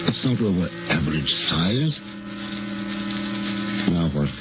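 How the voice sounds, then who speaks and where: A very low-voiced American scientist, secretive and obsessed, speaks in intense, halting bursts. It is a 1950s radio thriller, thin and tinny, with loud music behind him. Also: male voice, big pitch swings, exaggerated voice. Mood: awed, tense. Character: secretive, obsessed male scientist, awed by his creation.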